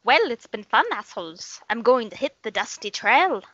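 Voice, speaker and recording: A woman's voice: a little high, animated, normal speed, a bit bright, dry recording, dull audio. A woman voicing a foul-mouthed, mocking leprechaun villain who taunts with exasperated menace. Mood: smug.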